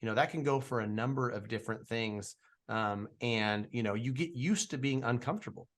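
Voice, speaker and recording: Podcast host, male, 40s, mid-pitched, casual and eager, loose conversational delivery. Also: a little fast, a bit bright. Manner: enthusiastic, conversational, heavy on "like" and "you know". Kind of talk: casual interview chat.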